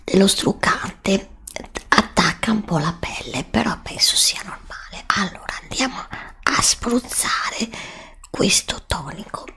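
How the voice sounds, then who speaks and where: Slow whispering woman, gravelly, crisp airy tone, close desk mic. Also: medium pitch, expressive. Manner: soft whispering, slow and hesitant. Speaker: ASMR creator. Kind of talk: whispered ASMR skincare review. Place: home studio with green screen. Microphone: studio desk mic, close.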